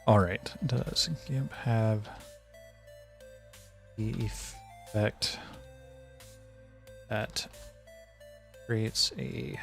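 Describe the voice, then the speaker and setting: A man's voice: lowish voice, extremely slow, creaky, long pauses. A man chatting casually on a live stream as he works, thinking aloud in a hesitant, self-directed way. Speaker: streamer, indie game developer. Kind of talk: casual live stream chat while working. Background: background music.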